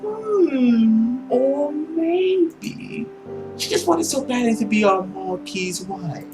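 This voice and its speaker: A man voicing a smug, scornful nobleman villain in a visual novel, drawling and sarcastic with theatrical pauses. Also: very high-pitched, big pitch swings, over music, voice pushed up, heavily acted. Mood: mocking, condescending. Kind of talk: visual novel character acting.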